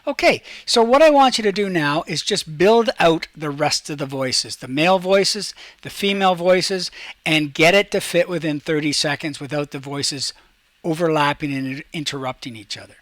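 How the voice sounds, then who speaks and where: Guy teacher doing a software tutorial, kinda high voice, no room echo, explains step by step. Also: moderate pace. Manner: talks through each step while demonstrating, checks in with "okay". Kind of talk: software tutorial for a class, casual instruction.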